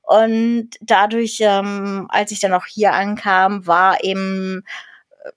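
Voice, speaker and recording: A woman's voice: medium pitch, flat delivery, slow, no room sound. A young woman from Germany chats as a podcast guest, circling her point with fillers and restarts and laughing easily. Her voice sits in a middle register. The recording is dry, with no room sound. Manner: rambling, many fillers and restarts, laughs often. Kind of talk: casual interview chat.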